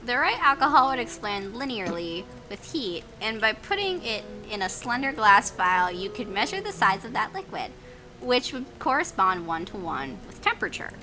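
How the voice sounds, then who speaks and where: Excitable, bright, high-pitched geeky woman. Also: normal speed. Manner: excitable, geeky, self-mocking. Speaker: gaming channel player reading out a story game. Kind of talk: playful Let's Play reading with joking asides. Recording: music playing behind the voice.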